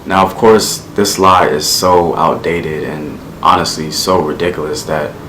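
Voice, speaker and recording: A man with an extremely deep voice narrates, dismissive and emphatic. His voice rings in a roomy echo over faint noise. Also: steady tone, measured pace, a bit bright. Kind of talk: explainer narration.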